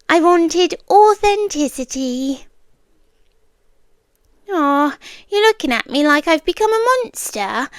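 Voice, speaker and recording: A British woman playing an obsessive, possessive young girlfriend in an intimate ASMR roleplay, soft and deliberate, sweetly menacing, slipping into whispers. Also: high-pitched, no echo, studio quality, full character voice. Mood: wounded, adoring. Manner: slow, deliberate, coaxing, with pauses and whispered words. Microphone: close, dry microphone.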